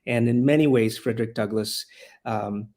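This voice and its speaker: A man's voice: medium pitch, moderate pace, a little mellow. Guy on a podcast, earnest, passionate, mid voice, keeps restarting phrases. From Honduras. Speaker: guest, classical school leader and rhetoric teacher. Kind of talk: podcast interview.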